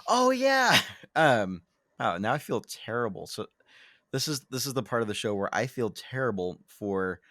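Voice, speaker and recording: A man's voice: mid-range voice, big pitch swings, moderate pace, pushed and bright, no room sound, studio quality. A man co-hosting a podcast in casual chat, rambling and self-deprecating, with many ums and restarts. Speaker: podcast co-host.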